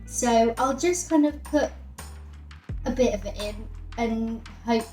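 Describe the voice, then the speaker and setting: Higher-voiced woman chatting in a roomy kitchen. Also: takes it slowly, warm tone. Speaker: home cook presenting a recipe. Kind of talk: casual chatty cooking demonstration. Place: home kitchen, indoors. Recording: roomy kitchen with music and clattering dishes.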